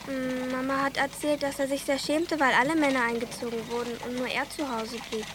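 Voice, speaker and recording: Actress as high-voiced, earnest village girl. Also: steady tone, brisk, close to an everyday voice. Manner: polite, frank, childlike questioning. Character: earnest young village girl, protective older sister. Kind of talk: radio drama acting. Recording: noisy ambience behind dialogue.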